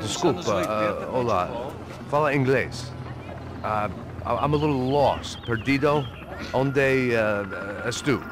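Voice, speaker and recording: An actor playing a hard-drinking, middle-aged American ex-cop, gruff and gravelly, narrating in a brooding, sardonic noir style. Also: male voice, mid-range voice, slow pace, natural voice. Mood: nervous, placating. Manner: brooding, self-deprecating, sardonic. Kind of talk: noir scripted narration and game dialogue. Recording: music behind the voice.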